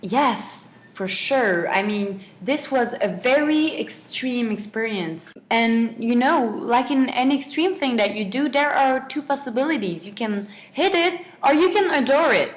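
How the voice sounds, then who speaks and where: Young female student, slightly high pitch, semi-prepared interview guest delivery. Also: expressive, measured pace, hardly any pauses. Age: young adult.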